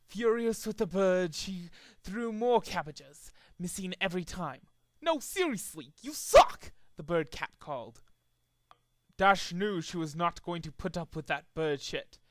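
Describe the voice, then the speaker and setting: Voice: mid-range voice, measured pace, no echo. An amateur reader narrating a fan fiction story as a dramatic reading, with occasional stumbles over the text.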